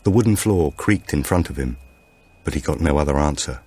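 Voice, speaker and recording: Low, husky male thriller narrator. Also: animated, a little mellow. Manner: measured, suspenseful storytelling. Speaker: audiobook narrator. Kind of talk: dramatic scripted narration of a thriller.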